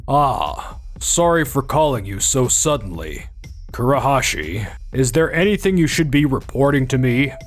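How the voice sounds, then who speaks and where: Man acting as a stern, expressive company president. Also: mid-range voice, a little slow. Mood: probing. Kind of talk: anime-style manga dub dialogue. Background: background music.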